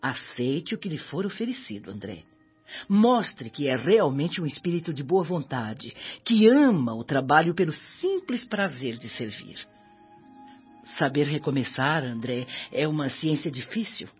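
A woman's voice: low-pitched, big pitch swings, unhurried, slightly rough, full character voice. An actress playing a kindly, devout elderly mother spirit in a Brazilian radio drama, speaking Portuguese in an earnest, maternal, moralizing way. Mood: earnest, exhorting. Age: older. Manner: maternal, earnest, moralizing with gentle tag questions. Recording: dull audio with background music.

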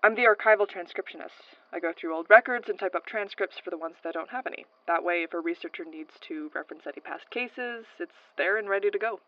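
A woman playing a brisk, businesslike archival transcriptionist in an audio drama, matter-of-fact and a little curt. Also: lowish voice, no room sound, muffled recording, close to an everyday voice. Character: brisk, businesslike female archival transcriptionist showing a newcomer around. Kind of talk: naturalistic audio drama acting.

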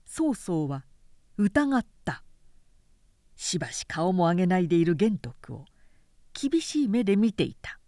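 A woman giving a measured, dramatic audiobook reading of a historical novel in an expressive storytelling delivery. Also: on the low side, no echo, studio quality. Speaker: narrator.

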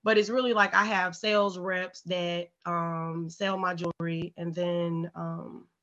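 Raspy, congested woman talking bluntly. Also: lowish voice, a little slow. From St. Louis, USA. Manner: blunt, profane, animated storytelling. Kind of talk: casual, candid podcast chat. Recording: slightly dull, muffled audio.

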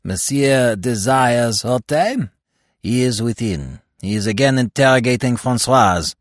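A courteous policeman, formal and deferential, his voice set a little higher than the reader's own, as a male narrator plays him. He speaks in measured, obliging phrases. The sound is close and dry. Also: lively intonation, dry recording, lightly acted.

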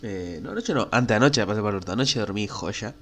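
A man's voice: fairly low pitch, expressive, fast, a bit bright. A young man with a Rioplatense Argentine accent, hosting a casual solo podcast while he has a cold, with a stuffed nose and breathing through his mouth. He rambles frankly and crudely, with plenty of fillers. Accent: Rioplatense Spanish. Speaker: podcast host.

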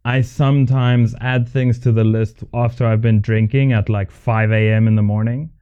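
Slightly muffled, lo-fi audio of a man co-hosting a casual podcast. His mid-pitched voice is a bit scratchy and nasal, as with a cold coming on. He talks in an easy, bantering way. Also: steady tone, moderate pace, clean tone. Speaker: podcast co-host.

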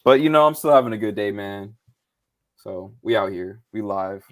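A man's voice: fairly low pitch, lively intonation, normal speed. A man co-hosting a live podcast, chatting casually and loosely, swearing a lot and saying "bro" and "man". He is losing his voice. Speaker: podcast co-host. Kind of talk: casual live-stream chat.

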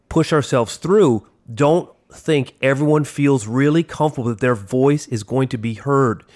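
A man in his forties co-hosting a casual podcast chat, with a big booming theatrical delivery. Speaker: podcast co-host, teacher.

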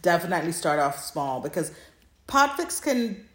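A woman's voice: lowish voice, big pitch swings, normal speed, fairly bright tone. A woman co-hosting a podcast in casual fan chat, recorded in an echoey room. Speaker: podcast co-host.

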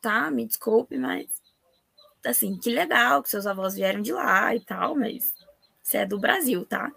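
A Brazilian woman hosts a relaxed podcast chat, emphatic and animated. Her voice sits fairly high with a gravelly edge, recorded close and dry. Soft music plays beneath. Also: measured pace, no echo. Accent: Brazilian Portuguese. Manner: animated, emphatic, often exclaims "gente". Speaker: podcast host. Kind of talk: casual podcast interview.

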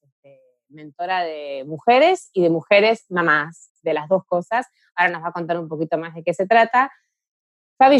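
A woman with a Rioplatense Spanish accent hosts a relaxed interview. She speaks in a somewhat low voice and hesitates with fillers. The sound is dry, with no room echo. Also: highs cut off. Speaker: host. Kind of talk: casual interview.

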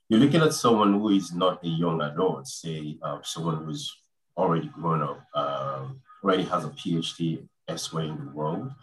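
A man asking a long question at an online panel, halting and full of fillers. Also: extremely low pitch, fairly bright tone, low-quality recording. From Nigeria. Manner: hesitant, halting with many fillers. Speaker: audience member asking a question.

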